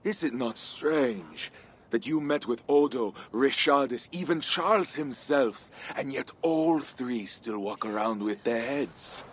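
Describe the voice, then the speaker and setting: A man's voice: medium pitch, lively intonation, slow pace, slightly rough. An actor playing a proud, mocking middle-aged Viking warlord in a video game cutscene, declaiming his taunts. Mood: suspicious.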